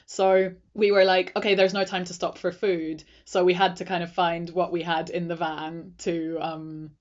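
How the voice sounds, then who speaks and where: A woman band member as an interview guest, telling stories in a relaxed, laughing way. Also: lowish voice, normal speed. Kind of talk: casual interview chat. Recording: dull lo-fi audio.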